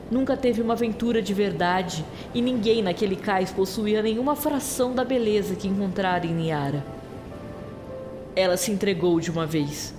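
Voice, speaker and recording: A woman narrating a scripted story as part of an audio drama. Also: medium pitch. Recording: long reverberant hall-like echo. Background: clear music bed.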